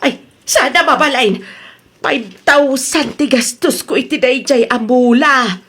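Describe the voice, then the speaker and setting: A woman playing a boastful, excitable older wife with an Ilocano accent in a comedy drama, exclaiming and drawing out her words. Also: sits high, measured pace, husky, exaggerated voice. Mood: upset.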